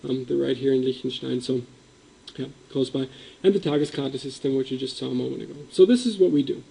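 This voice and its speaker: A man's voice: medium pitch, fast, vocal fry, warm tone, rough recording. A man who grew up in Indonesia, giving a conference presentation in a meeting room, explaining with emphasis and frequent ums.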